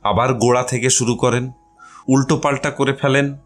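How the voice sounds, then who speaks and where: A man's voice: medium pitch, fairly even intonation, normal speed, soft background music. A man reading a literary story aloud as its narrator, in a scripted narration style.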